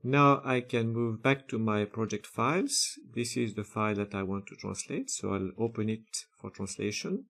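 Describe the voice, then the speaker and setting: Man, gravelly creaky voice, methodical step-by-step tutorial narration, filler sounds. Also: fairly low pitch, normal speed, few pauses, fairly bright tone. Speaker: software tutorial presenter. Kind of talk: screen-recorded software walkthrough.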